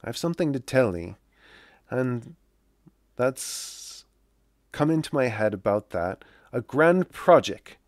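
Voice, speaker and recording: A man's voice: mid-range voice, animated, slow, pauses often, no echo, voice pushed up. A cheerful rural wife in a classic novel, acted by a male reader. The voice is lifted above the narration and speaks eager, scheming dialect. The sound is close and dry. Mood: excited, coaxing.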